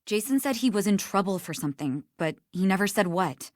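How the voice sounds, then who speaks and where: An actress playing a guilt-stricken, frightened woman in a video game, speaking in a halting, pleading way. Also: medium pitch, lively intonation, a little mellow, no room sound, studio quality, natural voice. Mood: worried, subdued.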